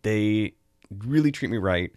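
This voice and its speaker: A man's voice: lowish voice, lively intonation, takes it slowly, a little mellow, no echo, studio quality. An American man hosting a review show, talking casually as he gives his take. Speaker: host of a film and book review show.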